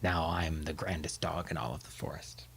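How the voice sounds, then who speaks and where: A man's voice: rumbling low, steady tone, normal speed, gravelly, slightly rough, voice pushed down, heavily acted. A man voicing a vicious male terrier who growls his threats. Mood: menacing. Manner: growly, louder.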